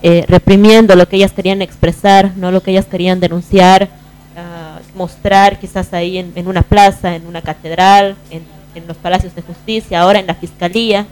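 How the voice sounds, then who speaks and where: A woman's voice: on the low side, level tone, a little fast, darkish tone, clear, resonant tone, low-quality recording. A woman panelist in a live radio discussion, making her points in emphatic, repeated phrases. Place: radio studio.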